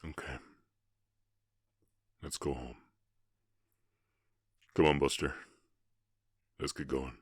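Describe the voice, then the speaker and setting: A man playing a brooding, kind half-orc cowboy with a Southern US accent, speaking in halting, sighing, earnest phrases in an ASMR western romance roleplay. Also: extremely low pitch, fairly even intonation, husky, no room sound, studio quality, full character voice. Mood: tender.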